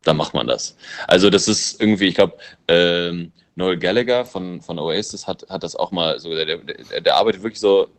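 A very low-pitched man whose first language is English talks about his music in a relaxed interview. He muses and thinks aloud, circling back on his points. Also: moderate pace. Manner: loose, musing, thinks aloud. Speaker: musician and producer. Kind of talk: casual interview answers.

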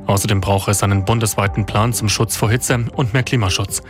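Lowish male news correspondent. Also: steady tone, brisk, over music. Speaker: radio correspondent. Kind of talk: news report.

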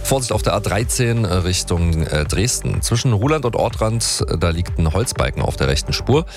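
A man's voice: deep voice, big pitch swings, moderate pace, over music. A man hosting a radio morning show, chatty and upbeat, reading weather and traffic.

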